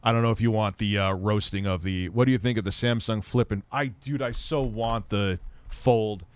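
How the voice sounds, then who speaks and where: A man's voice: fairly low pitch, moderate pace, smooth, no room sound. A man hosting a casual tech livestream, reading viewer comments in a rambling, profane way and laughing often.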